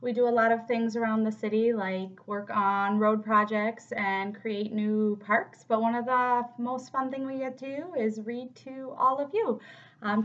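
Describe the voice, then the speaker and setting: A woman in her thirties with an American accent, reading a children's storybook aloud expressively. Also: fairly high pitch. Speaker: city council member reading to children. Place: home office, indoors. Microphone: computer microphone at desk distance. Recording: slightly dull webcam audio.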